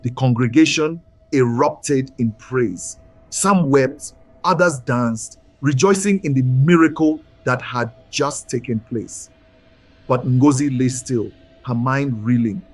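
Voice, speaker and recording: Male storyteller, husky, solemn and deliberate, for dramatic scripted folktale narration. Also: medium pitch, no echo, soft background music.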